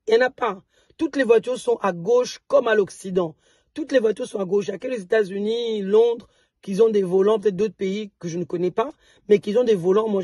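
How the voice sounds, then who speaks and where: A woman from DR Congo, an entrepreneur chatting casually to her viewers in a vlog. She is slightly hoarse and often restarts and repeats words. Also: mid-range voice, dark tone, no room sound, studio quality. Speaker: entrepreneur and vlogger.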